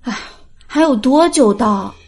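A curious young princess of an audiobook tale, acted out by a woman. She is naive and impulsive, asking questions in a high voice. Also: animated, measured pace, darkish tone, slightly rough, heavily acted. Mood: impatient.

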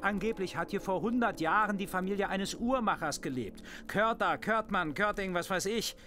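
A man's voice: sits high, normal speed, pushed and bright, faint music behind. A man playing a middle-aged family father in a horror audio drama, defensive, scoffing and sarcastic. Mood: scornful. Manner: sarcastic, scoffing, self-justifying.

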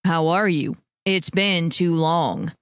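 A woman voicing a steady, warm but unbending husband who speaks slowly and firmly. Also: low-pitched, clear, resonant tone, dry recording, studio quality, pitched below the performer's own voice. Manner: slow, calm, firm.

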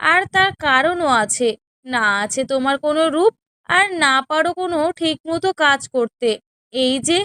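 A woman's voice: high-pitched, fairly bright tone, no echo. A woman voicing a spiteful, imperious younger co-wife in a children's cartoon, scolding and sneering. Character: haughty, spiteful younger co-wife in a village household. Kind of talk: children's cartoon story dialogue.

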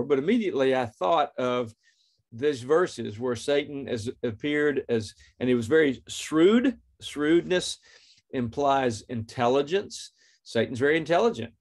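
A man's voice: mid-range voice, expressive, moderate pace, darkish tone. Earnest middle-aged man hosting a faith podcast. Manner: earnest, plain storytelling with scripture readings. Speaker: podcast host.

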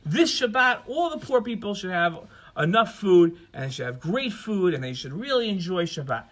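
A man voicing a proud, generous rich donor in a story, with emphatic, drawn-out, repetitive phrasing. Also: high-pitched, very animated, a bit bright, heavily acted. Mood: eager, generous.

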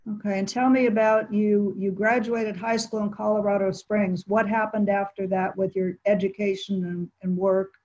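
A woman moderating an online panel from her living room, asking measured questions and thanking each guest before moving on. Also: medium pitch, level tone, warm tone, dull audio. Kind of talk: interview questions. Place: home living room, indoors. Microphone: video call.

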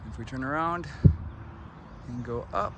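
A man's voice: medium pitch, a little slow. Minnesota guy huffing up a hill on a run, chatting to viewers, phone on a gimbal, lots of outdoor noise. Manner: chatty, addresses viewers directly. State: out of breath. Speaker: running vlogger. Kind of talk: casual narration while running outdoors. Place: outdoors on a park hill trail. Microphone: phone on a handheld gimbal. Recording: outdoor phone audio with audible background noise.

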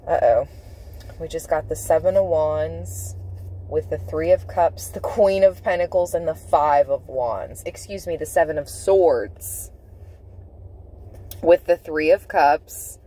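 A woman giving an intuitive tarot reading, speaking in deliberate, halting phrases with emphatic repetition. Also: fairly low pitch, warm tone, poor audio. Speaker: tarot reader. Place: inside a parked car.

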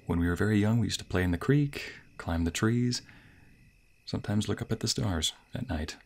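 A man acting a sweet, bashful young waiter on a romantic date in an ASMR roleplay, with a soft, breathy, playful and hesitant delivery. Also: lowish voice, normal speed. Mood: warm, bashful. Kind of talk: ASMR roleplay, intimate scripted boyfriend monologue.

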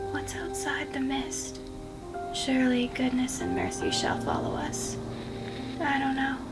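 A young woman giving an intimate literary reading in a hushed, breathy, whispery and deliberate voice. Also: sits high, far from the mic. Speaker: reader of a book excerpt. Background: music.

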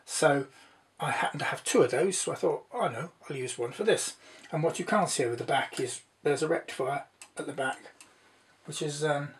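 Male hobbyist presenter, fairly high-pitched, informal explanatory delivery with a meandering, hesitant manner. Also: moderate pace. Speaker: presenter, hobbyist radio builder. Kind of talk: informal demonstration narration.

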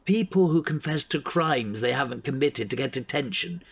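Blunt, exasperated newspaper editor. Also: male voice, medium pitch, lively intonation, moderate pace, vocal fry, husky. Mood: sceptical. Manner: scolding, blunt, authoritative. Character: exasperated, bossy male local newspaper editor. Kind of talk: audio drama acting.

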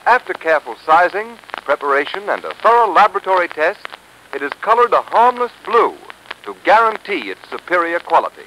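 A man's voice: sits high, sing-song, a little fast, bright, forceful tone. An American man announcing a 1930s radio advertisement in a formal, emphatic selling manner. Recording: noisy old 1930s radio recording.

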